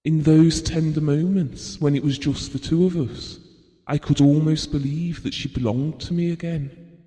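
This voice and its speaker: A gentle bereaved father acted by a man tells his story in an intimate audio drama. His voice is slightly high for a man and mellow, with reflective, slow delivery. Long reverb hangs around every word. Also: steady tone, natural voice. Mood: wistful, tender. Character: Bill, grieving, gentle, practical husband and bereaved father. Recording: long reverberant hall sound.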